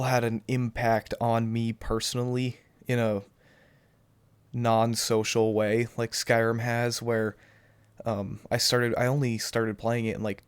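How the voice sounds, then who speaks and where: A man's voice: on the low side, no room sound. A young man answering viewer questions to his webcam, rambling and hesitant, his voice still a little off from the flu. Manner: rambling and hesitant, many restarts and ums. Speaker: gaming YouTuber. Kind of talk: casual Q&A talking to camera. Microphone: cheap webcam.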